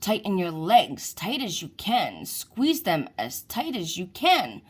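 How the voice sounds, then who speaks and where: Woman playing young sea child, storybook character, gentle, soothing guide, slow pace, lilting varied pitch. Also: huge pitch swings, slightly rough, heavily acted. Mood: calm coaching.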